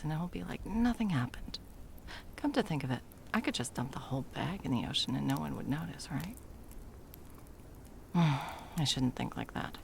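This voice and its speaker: A woman's voice: low-pitched, creaky, slight background noise, full character voice. A woman playing a frazzled, sarcastic, foul-mouthed Christmas delivery elf in a whimsical comedic ASMR roleplay, muttering and often dropping to whispers. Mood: scheming, anxious.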